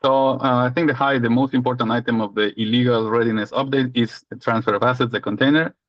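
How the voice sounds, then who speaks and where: A man's voice: medium pitch, fairly even intonation, quick pace, no room sound, dull audio. A man with a Mexican accent giving a legal update on a community video call, expansive and joking in long winding sentences. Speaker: legal and data protection lead.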